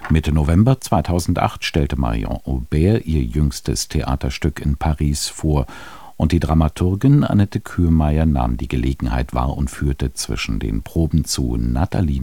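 Man, radio presenter, extremely low pitch, slightly husky, scripted introduction, close dry sound. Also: animated, normal speed, mellow, dry recording.

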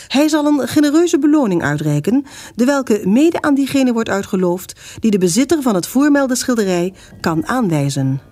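An actress reading a formal, old-fashioned public notice in a measured manner, in a Dutch radio drama. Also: female voice, fairly high pitch, dark tone, natural voice.

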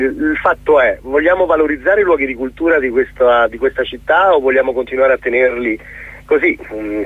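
Man, middle-aged, husky voice, hesitant articulate interview talk, thin telephone sound. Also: medium pitch, no room sound. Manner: hesitant, searching for words, articulate. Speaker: theatre director, interview guest. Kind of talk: reflective radio interview. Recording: muffled, thin telephone line on radio.

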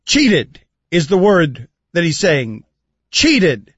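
A man's voice: fairly high pitch, wildly swooping pitch, drawn-out words, husky, no echo. A man hosting a sports talk radio show, chatting wryly and reading listener texts from a studio, heard as a dull lo-fi broadcast. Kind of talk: casual studio banter.

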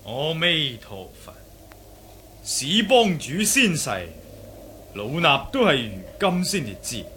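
A man with a Cantonese accent playing a solemn elderly Shaolin monk elder, formal, pious and measured, in a martial arts radio drama. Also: fairly high pitch, big pitch swings, sharp tone, slight background noise, full character voice. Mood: courteous, solemn.

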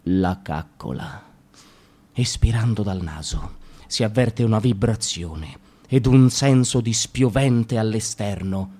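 A man reading a comic monologue for radio theatre in a wry, sardonic way, with sudden jumps in pitch. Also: slow, dark tone. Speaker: radio drama reader.

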